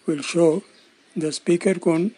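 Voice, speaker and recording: A man in his sixties giving scripted instructional narration as an electronics instructor, in a measured, formal, explanatory manner. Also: on the high side, steady tone, dark tone, slight background noise. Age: 60s.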